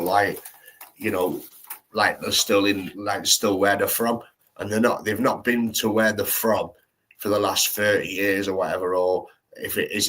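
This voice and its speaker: A man hosts a live stream in loose, slangy talk. He rambles and restarts his sentences often, in a low voice. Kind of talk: casual live-stream chat.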